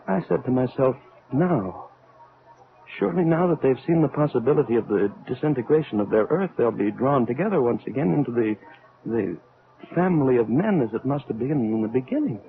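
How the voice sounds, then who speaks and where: An older male actor playing a remorseful, weary atomic scientist in an old-time radio drama, speaking in a solemn, halting, oratorical way. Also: medium pitch, vocal fry. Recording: tinny, band-limited old radio recording.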